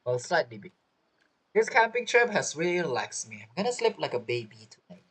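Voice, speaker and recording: A man's voice: fairly high pitch, very animated, fairly quick, fairly bright tone, husky, rough recording. A young man doing casual, joking playthrough commentary, reacting aloud to a video game. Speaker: gaming streamer.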